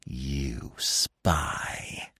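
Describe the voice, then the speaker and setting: A man voicing a storybook fox, bitter and contemptuous, hissing and growling slow, drawn-out accusations with restraint. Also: very deep voice, vocal fry, sharp tone, husky, dry recording, studio quality, pitched below the performer's own voice, exaggerated voice. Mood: bitter contempt.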